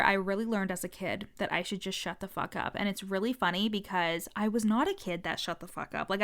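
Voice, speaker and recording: A woman's voice: medium pitch, a bit bright, smooth. A woman business coach talking frankly in a casual podcast monologue, swearing freely, with a stutter.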